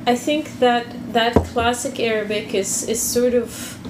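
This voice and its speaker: Hesitant woman, chatting casually, unhurried, roomy recording. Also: a little high, fairly even intonation. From Quebec. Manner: hesitant, many restarts and fillers. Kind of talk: casual chat. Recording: echoey room with background noise.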